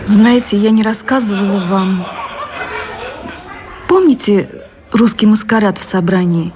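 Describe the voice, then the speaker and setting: A woman's voice: medium pitch, unhurried, natural voice. An actress playing a devoted, romantic woman in a radio drama, reminiscing tenderly and wistfully. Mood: fond, animated. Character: Anna, devoted romantic woman reminiscing with her beloved admiral. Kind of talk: Russian radio drama acting. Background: music.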